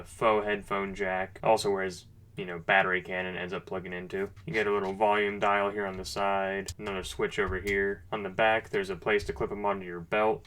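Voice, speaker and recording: Low-pitched man doing a casual toy review. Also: a bit bright. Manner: enthusiastic, informal, conversational. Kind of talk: casual unscripted product review.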